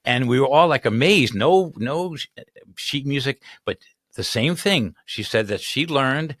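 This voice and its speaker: A man's voice: mid-range voice, expressive, a little slow, fairly bright tone, dry recording. An older man hosting a casual studio interview, rambling and repeating himself as he talks. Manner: rambling, repeats words, laughs often. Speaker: host. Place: small studio with colorful backdrop. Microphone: studio mic on arm.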